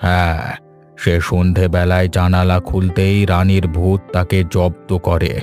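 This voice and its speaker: Cartoon village elder: deep voice, grave, protective, cautious. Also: male voice, monotone, measured pace, a little mellow, soft background music. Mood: grave, worried. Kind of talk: scripted Bengali horror cartoon acting.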